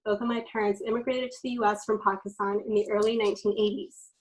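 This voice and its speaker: A woman in her thirties from the United States, hosting a podcast discussion over a video call from her bedroom in a clear, measured way. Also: mid-range voice, steady tone, rough recording. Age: 30s. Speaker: podcast host. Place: bedroom at home, indoors. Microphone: video call from home.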